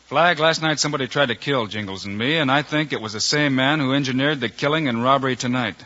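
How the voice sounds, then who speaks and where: A man playing a calm, shrewd, fair-minded lawman with an American accent in an old radio western. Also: mid-range voice, fast. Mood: firm. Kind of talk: 1950s radio western acting. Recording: dull old radio recording.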